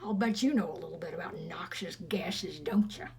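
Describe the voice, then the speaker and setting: A woman's voice: fairly low pitch, normal speed, vocal fry, a crowd in the background. A woman reading a storybook aloud expressively to children, with chatty, teasing asides to her listeners.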